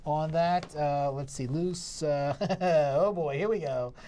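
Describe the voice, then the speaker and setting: Middle aged guy, critic chatting on a review show, kinda insistent, warm dark tone. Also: a little high, slow. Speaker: theatre critic, show co-host. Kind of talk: casual review discussion.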